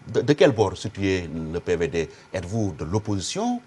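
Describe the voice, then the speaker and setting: A man hosting a political TV interview, asking questions in a measured, formal way. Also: deep voice, very animated, darkish tone, slight background noise. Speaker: TV show host. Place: TV studio.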